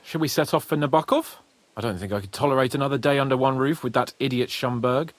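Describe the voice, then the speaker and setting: An actor playing a proud, hot-headed young nobleman in a medieval video game, haughty and expressive. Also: medium pitch, fast talker, no echo, natural voice. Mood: exasperated. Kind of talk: video game cutscene acting.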